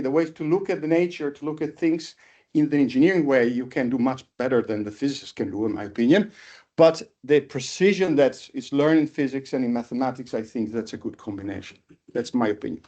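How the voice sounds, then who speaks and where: A middle-aged man from Italy with an Italian accent gives an informal academic seminar talk as a professor, speaking haltingly and repeating words as he thinks. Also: on the high side, a little mellow. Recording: dull, lo-fi lecture audio.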